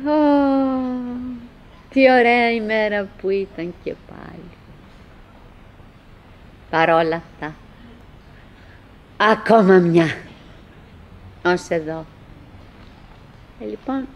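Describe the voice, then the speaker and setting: An actress playing a wistful, lonely, chattering wife in a theatrical radio play, musing in fragments and repeating herself. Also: female voice, mid-range voice, natural voice. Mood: wistful, resigned. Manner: fragmented, repetitive musing with pauses and self-address.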